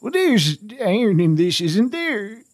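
A man's voice: high voice, sing-song, measured pace. A man playing a tabletop roleplay game, talking in casual, slangy chat.

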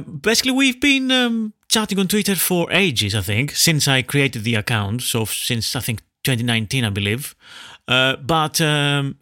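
A man's voice: medium pitch, bright, forceful tone, no room sound, studio quality. A man hosting a podcast interview, friendly and a bit hesitant, with frequent ums and uhs. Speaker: podcast host. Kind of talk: interview conversation.

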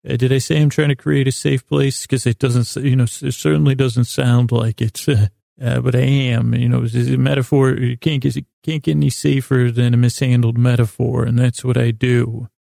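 A male podcast host with a heavily creaky, gravelly voice and a flat, narrow melody. He wanders through a sleep-podcast monologue, halting and doubling back in a self-deprecating way. The recording is close and dry. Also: medium pitch, dry recording. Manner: meandering, halting, self-deprecating, often losing his thread.

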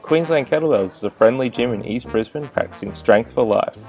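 Gravelly man hosting. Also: mid-range voice, fairly quick, darkish tone, faint music behind, low-quality recording. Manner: friendly, laughs easily, hesitant fillers. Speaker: podcast host, gym owner. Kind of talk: casual interview chat.

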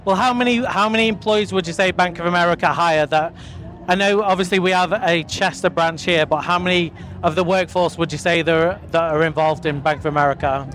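A man's voice: high-pitched, brisk, fairly bright tone, a little hiss or hum. A man interviewing guests live at an outdoor festival, upbeat and chatty, asking long questions with restarts. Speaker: podcast interviewer.

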